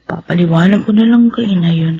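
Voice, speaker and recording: A woman's voice: fairly low pitch, fairly quick, phone-quality sound, a little hiss or hum, close to an everyday voice. A woman playing a proud, guarded young woman in a Filipino radio drama, with a firm, protesting manner. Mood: mildly worried.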